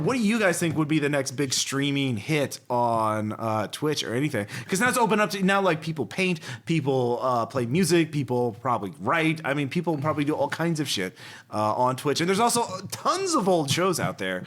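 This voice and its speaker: A man hosting a casual podcast, telling comic anecdotes to friends in an animated, halting way. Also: on the high side. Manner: animated, profane, halting as he searches for the next point. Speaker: podcast host.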